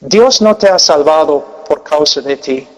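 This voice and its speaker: A man with an American accent preaches in a large church hall, his voice ringing with long reverb. He talks slowly and deliberately, his fairly high voice pushing to emphatic peaks, then dropping to hushed, intense near-whispers. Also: lively intonation. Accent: American, with Peruvian Spanish learned late. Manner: earnest, emphatic, long pauses, drops to quiet intensity. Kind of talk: sermon.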